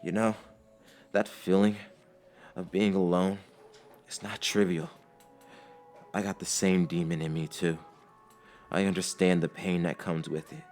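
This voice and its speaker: A man's voice: low-pitched, little pitch movement, a little slow, long pauses, a little mellow. A determined young male ninja hero, acted by a man telling a story. He sounds earnest and heartfelt, speaking with emphasis over faint music. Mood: pleading.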